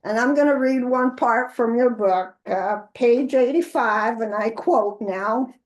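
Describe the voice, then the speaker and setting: A woman's voice: on the high side, unhurried, poor audio. A woman interviewing an author in a live chat, talking in a conversational, questioning way.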